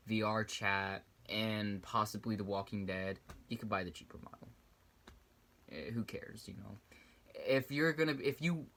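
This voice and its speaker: A man's voice: fairly low pitch, fairly bright tone. A young man giving a casual, rambling video review, often restarting sentences and drifting off topic. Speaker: YouTuber reviewing a VR headset. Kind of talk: casual product review vlog.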